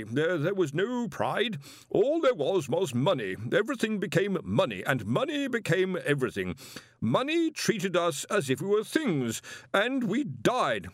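A man's voice: high voice, wildly swooping pitch, measured pace, no echo, clean studio sound, voice pushed up, full character voice. A man voicing a nervous, stammering young male wizard in a storybook reading. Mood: panicked. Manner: stammering, pleading.

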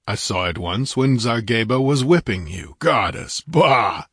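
A man voicing a massive barbarian warrior who speaks with rumbling scorn and blunt demands. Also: lively intonation, unhurried, fairly bright tone, breathy edge, no room sound, studio quality, exaggerated voice. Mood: scornful. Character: massive gruff barbarian warrior, angry and contemptuous.

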